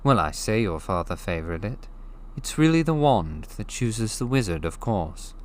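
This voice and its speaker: A man voicing a soft, eerie old wandmaker. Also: on the low side, big pitch swings. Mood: musing. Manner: soft, deliberate, drawn-out delivery.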